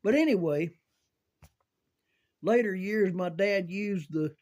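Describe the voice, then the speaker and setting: An older American man reminiscing casually in a halting, folksy way, often repeating words as he goes. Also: sits high, a little mellow. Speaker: storyteller sharing recollections of CB radio and volunteer rescue.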